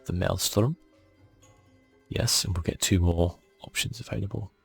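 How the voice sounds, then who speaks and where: A man speaking softly and casually, thinking aloud as he gives ASMR commentary on a video game. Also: low voice, unhurried, gravelly, breathy edge, soft background music. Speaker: gaming channel host.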